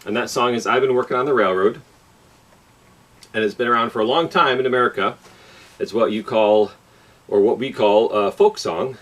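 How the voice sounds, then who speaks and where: A man's voice: medium pitch, moderate pace, pauses often, recorded from a distance. A man hosting a children's storytime, explaining things simply and often rephrasing or repeating for young listeners. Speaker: library storytime host and singer.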